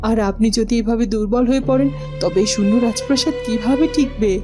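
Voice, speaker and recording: A woman's voice: on the high side, fairly quick, over music, close to an everyday voice. A woman playing a firm, loyal lady earnestly and respectfully counselling a king in a cartoon. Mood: urging, earnest.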